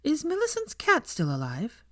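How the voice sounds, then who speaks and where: A young witch from a storybook, as a woman narrator puts her on. She speaks high and swooping, with a hesitant, innocent air. The sound is close and dry. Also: wildly swooping pitch, normal speed, fairly bright tone, no echo, heavily acted. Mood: sheepish.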